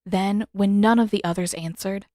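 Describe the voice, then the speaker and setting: A woman's voice: mid-range voice, steady tone, moderate pace, dark tone, no echo. Woman narrating a story, dry close sound. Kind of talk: scripted fan fiction audiobook narration.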